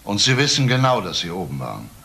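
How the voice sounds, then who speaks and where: An actor playing a persistent police detective in a radio crime drama, questioning formally and pointedly. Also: male voice, lowish voice, big pitch swings, fast talker, bright, forceful tone, a little hiss or hum, heavily acted. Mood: probing.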